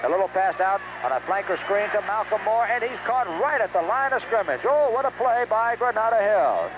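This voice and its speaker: A man's voice: sits high, lively intonation, brisk. An American man calling live football play-by-play on the radio, in short clipped phrases that follow the action. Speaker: play-by-play sports announcer. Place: outdoors at a stadium. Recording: dull, noisy 1970s radio recording.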